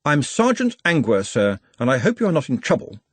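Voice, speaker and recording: A man's voice: mid-range voice, huge pitch swings, fairly quick, slightly rough, no room sound, voice pushed up. A man voicing a tired female police sergeant with a dry, mocking manner. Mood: sardonic.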